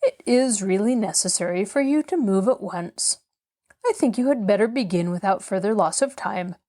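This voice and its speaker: Woman reading a brisk, businesslike man landlord. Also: mid-range voice, lively intonation, warm tone, lightly acted. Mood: brisk, formal. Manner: formal, matter-of-fact. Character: brisk, businesslike grown man landlord, reasonable but unbending.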